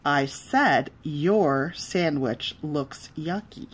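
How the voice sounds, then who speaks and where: A woman voicing offended, scornful schoolgirls from a storybook, with emphatic, drawn-out exclamations. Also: low voice, husky, exaggerated voice. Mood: scornful, angry.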